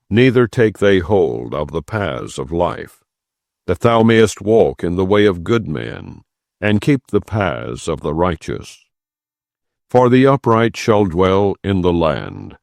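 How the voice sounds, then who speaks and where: A man reading scripture as an audiobook narrator, in a solemn, measured and deliberate way with emphatic phrasing. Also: low voice, vocal fry, a little mellow, no room sound, clean studio sound.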